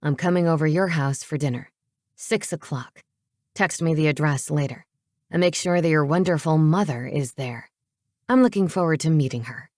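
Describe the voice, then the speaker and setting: Woman voicing a low-pitched, domineering teenage boy, coolly menacing. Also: normal speed, a little mellow, dry recording, studio quality, natural voice. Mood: curt, smug. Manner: commanding, curt, sarcastic.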